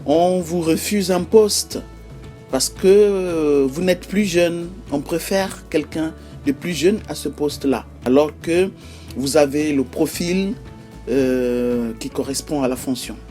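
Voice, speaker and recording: Woman, Cameroonian accent, vlog host, slow deliberate pace, hesitant fillers, faint background music. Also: sits low, warm tone. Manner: measured, lists examples one by one with frequent hesitations. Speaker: YouTube host. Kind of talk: explanatory vlog talk.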